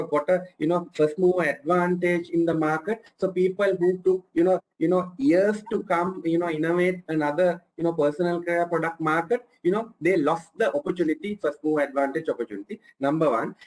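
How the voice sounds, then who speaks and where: A man's voice: sits high, a little fast, hardly any pauses, poor audio. A man from Sri Lanka speaking as a panelist on consumer goods, leaning on "you know" constantly. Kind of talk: panel discussion answer.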